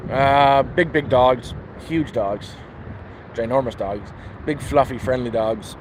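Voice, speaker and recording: A man's voice: medium pitch, takes it slowly. A man with a Toronto accent, chatting in a rambling way while he walks through the city. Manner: rambling, chatty, talks while walking outdoors. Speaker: vlogger. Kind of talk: casual walking vlog talk. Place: city street outdoors. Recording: faint street and traffic noise.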